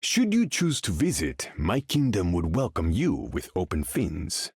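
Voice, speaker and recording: A man's voice: low voice, wildly swooping pitch, a little slow, darkish tone, husky, no echo, studio quality, full character voice. An actor playing a proud, imperious king of an ocean empire in a video game, haughty, formal and grandiose. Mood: courting, regal. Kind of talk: video game character voice lines.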